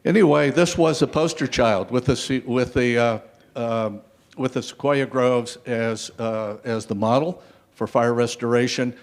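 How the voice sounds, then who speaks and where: A man's voice: mid-range voice, a little slow. Older man lecturing on a panel. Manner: lecturing, wry asides with frequent fillers. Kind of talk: conference panel talk. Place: conference stage, seated. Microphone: handheld mic.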